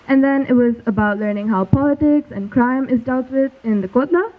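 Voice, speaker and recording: A young woman from Malaysia earnestly presents at a school showcase, reading steadily. Her voice is somewhat high. The audio is tinny and phone-like. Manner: earnest, steady recitation from notes. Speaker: student presenter.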